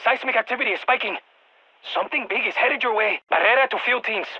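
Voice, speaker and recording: A man playing an excitable, quirky scientist advising a strike team over the radio in a video game, with a Spanish accent and exclamatory delivery. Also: very high-pitched, fast talker, husky, muffled recording, exaggerated voice. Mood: brisk, intent. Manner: animated, exclamatory, peppers speech with Spanish interjections. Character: excitable, quirky male exogeologist supporting a military strike team. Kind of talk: video game voice acting, mission radio callouts.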